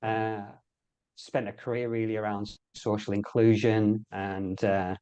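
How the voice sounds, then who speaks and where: A man's voice: on the low side, level tone, measured pace, warm tone, clear, resonant tone, highs cut off. English man chatting, hesitant phrasing. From England. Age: middle-aged. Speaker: interview guest in sport and health sector. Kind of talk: casual chat.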